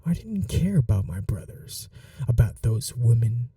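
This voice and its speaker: A man voicing a bitter, possessive young male vampire in an ASMR audio roleplay, speaking softly and confessionally and sometimes dropping to a whisper. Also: medium pitch, big pitch swings, no echo, clean studio sound, recorded from a distance. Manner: slow, soft-spoken, confessional, drops to whispers.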